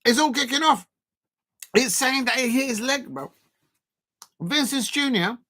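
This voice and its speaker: A man from Colombia hosting a live football watch-along, talking casually over the match with many fillers and restarts and sudden excited outbursts. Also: very high voice, unhurried.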